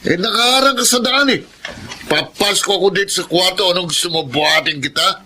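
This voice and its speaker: Boastful callous boyfriend, Filipino, slightly high voice, hard bright tone, brusque, radio drama. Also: male voice, a little fast, slight background noise. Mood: irritated, bullying. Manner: brusque, cocky.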